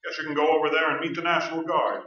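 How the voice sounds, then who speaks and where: An actor playing a gruff, commanding small-town sheriff with a folksy manner in a staged radio play. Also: male voice, fairly high pitch, fairly even intonation, racing, pushed and bright. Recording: echoey stage, distant mic, dull sound.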